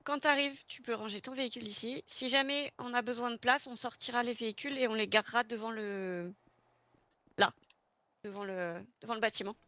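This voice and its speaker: Woman, slightly high bright voice, dry sound, informal instructions, roleplay chat. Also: steady tone, a little fast, no echo, dull audio. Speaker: player acting a garage employee training a newcomer. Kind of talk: improvised online roleplay, giving a guided tour.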